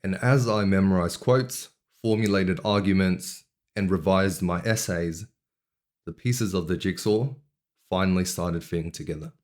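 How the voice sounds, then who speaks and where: A man's voice: low voice, darkish tone. Earnest young man. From Australia. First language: not English. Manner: measured, earnest storytelling with deliberate pauses. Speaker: vlogger. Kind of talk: reflective personal monologue.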